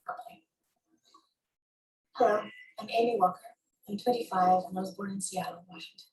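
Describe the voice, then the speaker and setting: A woman in her twenties, an actress, playfully running through a rapid string of English accents in a played clip. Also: medium pitch. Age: 20s. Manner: switches between many English accents, playful. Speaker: actress doing an accent showcase. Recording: roomy sound from a distance.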